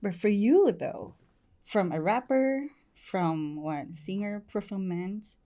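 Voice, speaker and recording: A woman's voice: mid-range voice, slow, mellow. A woman, hosting a casual talk show interview in an informal, giggly manner. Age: 20s. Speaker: host.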